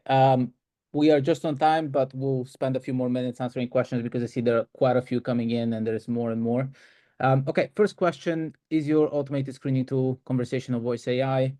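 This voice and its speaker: A man with a mellow, relaxed voice of medium pitch hosts a live webinar Q&A. He explains things conversationally, as if talking to colleagues. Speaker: webinar host fielding questions.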